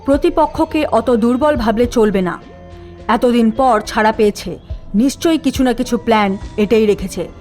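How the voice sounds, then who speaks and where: A woman voicing a cautious, shrewd ghost squad leader who advises in a measured way. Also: fairly high pitch, darkish tone, soft background music, lightly acted.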